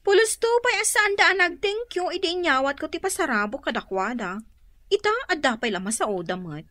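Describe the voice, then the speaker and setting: An actress playing a proud, easily offended niece in an Ilocano radio comedy-drama, retorting expressively with an Ilocano accent. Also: female voice, sits high, lively intonation, brisk, sharp tone, dry recording. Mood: hurt.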